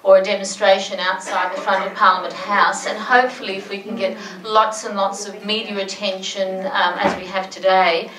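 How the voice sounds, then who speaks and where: A woman's voice: mid-range voice, little pitch movement, normal speed, hardly any pauses, a crowd in the background. A woman, earnestly urging action from the floor of a forum. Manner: earnest and organizing, with some restarts. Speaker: audience member. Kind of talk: comment from the floor. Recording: echoey hall from a distance.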